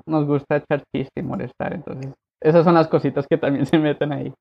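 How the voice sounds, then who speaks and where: A man from Colombia, raised abroad, telling his life story as a podcast guest in a relaxed way with many fillers. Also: a little high, fairly quick, vocal fry, lo-fi sound. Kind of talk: conversational interview.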